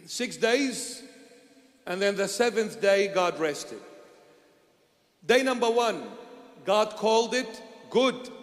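An older man with an Iraqi accent preaching a sermon at a church altar, asking rhetorical questions and answering them himself, moving from loud emphasis to hushed asides. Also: high voice. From Iraq. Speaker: bishop preaching. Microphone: handheld mic. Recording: long church reverb.

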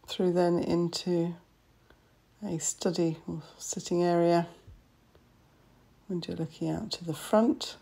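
Gravelly, low-voiced woman touring a house. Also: steady tone, takes it slowly, long pauses, dark tone. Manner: matter-of-fact, listing features in short phrases. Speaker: estate agent. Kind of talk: property walkthrough narration. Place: inside an old house.

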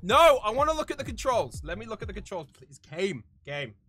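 An excitable game streamer giving casual live commentary, prone to shouting and cackling. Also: mid-range voice, fairly quick, faint music behind.

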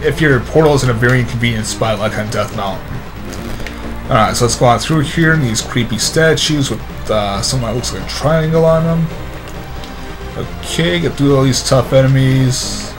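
A man gives casual video game commentary at an ordinary mid pitch. He sounds tired and a touch hoarse, with a wry, self-mocking manner. Game music runs clearly behind him. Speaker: let's play commentator.

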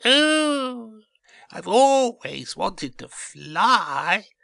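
A man's voice: mid-range voice, very animated, takes it slowly, pushed and bright, no room sound, studio quality, pitched above the performer's own voice, full character voice. A man voicing a cocky, naive little male seed in a children's storybook, boastful and eager. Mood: excited.

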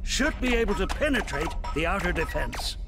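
A man voicing an earnest rebel general giving a formal, authoritative briefing in an animated game cutscene. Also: high-pitched, moderate pace, music in the background. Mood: solemn. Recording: clear background effects noise.

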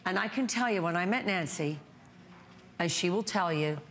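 A woman in her fifties pitching a skincare product on a TV shopping show, chatty and emphatic, stacking up vivid words, recorded on a lapel mic in a bright studio. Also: animated, normal speed, slight background noise. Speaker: brand founder presenting on a shopping channel. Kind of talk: home shopping sales pitch.

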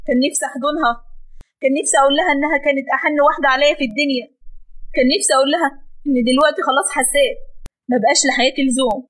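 A woman's voice: high-pitched, rapid-fire, fairly bright tone. A woman with an Egyptian accent voicing a heartbroken young wife who accuses her husband in anguish in an audio drama. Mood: grieving.

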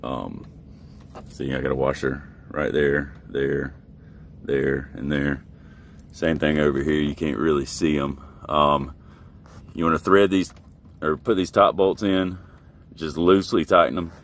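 Deep-voiced man, casual how-to vlog. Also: little pitch movement, measured pace, pauses often. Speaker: truck driver and vlogger. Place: inside a truck cab.

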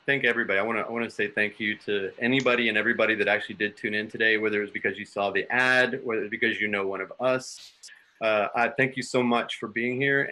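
Bright, forceful man chatting informally. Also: fairly low pitch. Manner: informal, rambling. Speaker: writer and actor. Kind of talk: casual chat. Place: small room on video call.

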